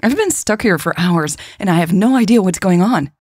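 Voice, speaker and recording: A woman's voice: fairly low pitch, animated, moderate pace, a little mellow, slightly rough, no echo, clean studio sound, pitched above the performer's own voice. A woman narrator voicing a distraught, distrustful, stubborn woman who protests in an agitated manner in a romance story. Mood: confused, agitated.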